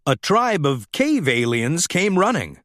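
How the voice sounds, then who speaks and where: A man narrating a scripted children's story in a dramatic, expressive, deliberate way. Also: on the high side, no echo, clean studio sound.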